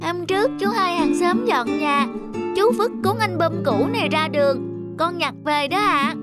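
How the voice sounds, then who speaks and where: A wistful little schoolgirl in a cartoon. The voice is very high, shy and politely hesitant. Loud music plays underneath. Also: animated, a little slow. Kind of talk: cartoon voice acting.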